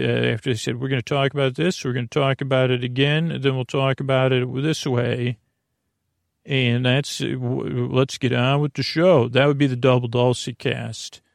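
A man hosting a bedtime podcast in a meandering, halting monologue that drifts off on tangents. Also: mid-range voice, no room sound, clean studio sound. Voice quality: creaky, gravelly. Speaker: podcast host.

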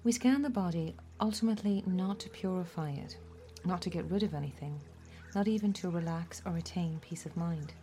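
A woman leading a guided body scan meditation, giving gentle, measured instructions with deliberate pauses. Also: on the low side. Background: music.

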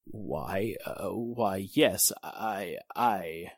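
An actor playing an indignant, blustering, protective gentleman in a pompous 1940s-style radio drama manner. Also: deep voice, very slow, slightly rough, no room sound, studio quality, heavily acted. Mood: flustered.

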